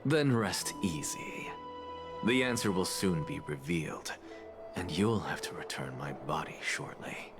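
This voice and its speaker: A man's voice: low-pitched, lively intonation, measured pace. A man voicing an aloof, arrogant scholar in a game cutscene, cool and curt. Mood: cool, composed. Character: aloof, arrogant male scholar sharing his body with a titan. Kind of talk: scripted game cutscene dialogue. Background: music.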